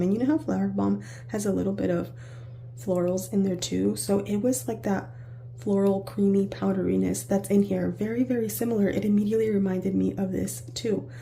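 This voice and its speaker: Hoarse woman chatting about perfume. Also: mid-range voice, level tone, a little fast, dark tone, slight background noise. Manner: chatty, rambling with self-corrections. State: hoarse, raspy from illness. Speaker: perfume reviewer. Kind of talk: casual perfume review.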